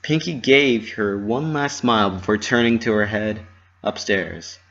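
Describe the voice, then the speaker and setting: A man's voice: fairly low pitch, unhurried, a bit bright. A man giving a dramatic scripted reading of a fan fiction story as its narrator. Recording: muffled lo-fi home recording.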